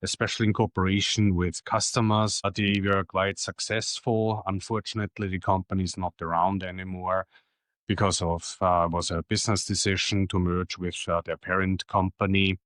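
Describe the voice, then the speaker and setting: A middle-aged Austrian man whose first language is German speaks in a very low voice with a clear Austrian German accent. He answers questions plainly and matter-of-factly, often pausing on "uh". The recording is close and dry, with almost no room sound. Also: level tone, a bit bright, dry recording. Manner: plain, matter-of-fact, with frequent "uh" pauses. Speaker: guest. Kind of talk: casual interview answers.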